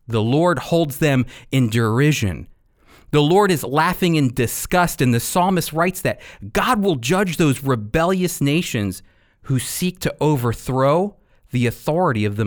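A man preaching an expository sermon as a Bible teacher, with emphatic, deliberate delivery and dramatic pauses. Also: mid-range voice. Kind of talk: expository sermon with scripture readings.

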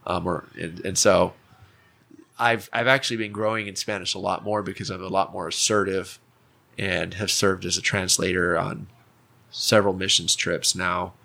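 A man from the United States in casual conversation, telling personal stories haltingly as he searches for words. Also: sits low, little pitch movement, creaky, sharp tone. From United States, raised partly in Mexico City.

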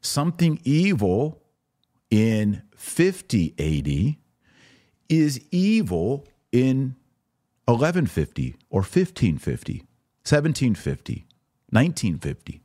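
An American man hosting a religious commentary podcast, speaking emphatically with deliberate pauses for stress. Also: mid-range voice, wildly swooping pitch, warm tone. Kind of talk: opinionated commentary to camera.

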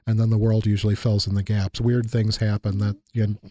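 A man's voice: lowish voice, flat delivery, fairly quick, mellow, no echo, clean studio sound. A man co-hosting a casual chat, talking in a reflective, thoughtful way. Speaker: university lecturer and podcast co-host.

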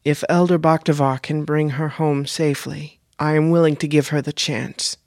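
A composed woman operative speaks in calm, measured, formal sentences, voiced by a male narrator. Her pitch sits clearly higher than his natural voice. The reading is close and dry. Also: dry recording, natural voice.

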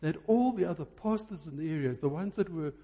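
A man's voice: fairly high pitch, lively intonation, a little fast, mellow, husky. A man preaching a sermon, phrasing emphatically and repeating key words for effect.

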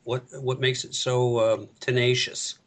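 A man moderating a casual chat, putting questions in a halting way. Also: mid-range voice, level tone. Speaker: discussion moderator.

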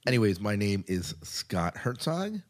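A man with an American accent and a notably low voice hosts a podcast. He talks informally and chattily, sometimes doubling back to repeat a phrase. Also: big pitch swings, a little slow. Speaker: podcast host. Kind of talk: casual podcast chat and show rundown.

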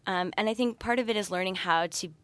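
A woman's voice: mid-range voice, quick pace, fairly bright tone, no echo. A woman speaking as an interview guest, explaining her views in a thoughtful, conversational way. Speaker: interview guest, sexuality educator and researcher.

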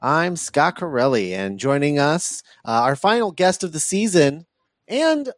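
A man with a fairly high voice talks through films as a podcast co-host. He circles back and restarts phrases as he goes, in a casual, halting manner. The sound is close and dry. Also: sing-song, dry recording. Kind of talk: casual film discussion.

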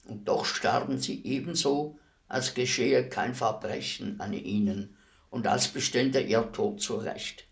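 An actor playing a solemn, courteous executioner in a German radio play, speaking formally and deliberately. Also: male voice, mid-range voice, creaky. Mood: reflective, solemn. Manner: formal, measured, deliberate delivery.

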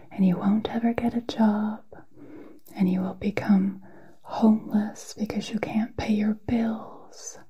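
A woman whispering a guided meditation in a soft, breathy, soothing way, with long pauses, as an ASMR host. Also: medium pitch, dull audio. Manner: slow, soothing, halting delivery with long pauses.

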